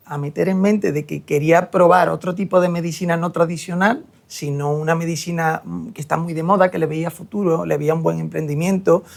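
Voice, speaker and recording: A man's voice: on the high side, vocal fry. A young man from Seville, a surgeon talking reflectively in an interview with frequent hesitations. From Seville, Spain. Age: young adult. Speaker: guest, hair transplant surgeon. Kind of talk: interview conversation.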